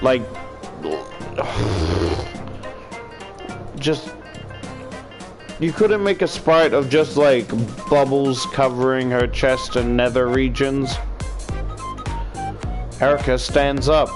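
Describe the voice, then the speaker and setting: A man's voice: medium pitch, expressive, extremely slow, long pauses. A man with an Australian accent doing a casual, sweary let's-play, reading visual novel text aloud and commenting as he goes. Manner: sweary, informal. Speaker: video game streamer. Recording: music playing behind the voice.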